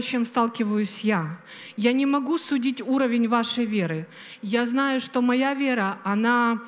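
A middle-aged woman delivers a sermon from a stage, holding a microphone. She speaks slowly and with emphasis, pausing often and filling gaps with hesitations. Her voice rings out with a long reverb from the hall. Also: a little high. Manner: slow, emphatic preaching with many pauses and fillers. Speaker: preacher. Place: stage of a large hall with an audience. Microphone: handheld microphone.

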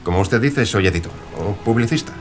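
Polite, persuasive gentleman publisher for radio play, played by an actor, lowish voice over clear music. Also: expressive, a little fast, close to an everyday voice. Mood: persuasive, intrigued. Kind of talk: audio drama acting.

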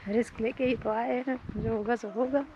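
Woman in her thirties, excitable travel vlogger, selfie camera, dull audio, loud wind behind. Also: fairly high pitch, normal speed. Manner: chatty and excitable, pointing out sights. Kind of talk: casual travel vlog narration. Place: outdoors on a sunny volcano hiking trail. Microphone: camera held at arm's length. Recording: dull outdoor phone audio with wind noise.

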